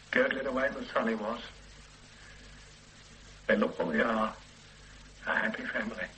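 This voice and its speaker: An actor playing a weary, regretful middle-aged man under police questioning in a radio play, speaking in a halting, hesitant way. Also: lowish voice, slight background noise, rough recording. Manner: halting, hesitant confession with quiet weary asides. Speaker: murder suspect under questioning. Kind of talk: 1970s-80s radio play acting.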